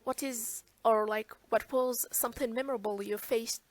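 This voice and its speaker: Woman, Algerian accent, webinar host, fairly high voice, dry close sound. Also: fairly even intonation, moderate pace, no room sound. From eastern Algeria. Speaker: webinar host and English teacher. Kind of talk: moderated webinar conversation. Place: online video call.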